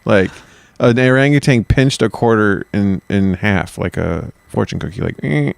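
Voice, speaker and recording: A man's voice: fairly low pitch, moderate pace, vocal fry, clean tone, no echo. A man co-hosting a podcast in casual chat, swearing and telling stories with animation. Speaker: podcast co-host.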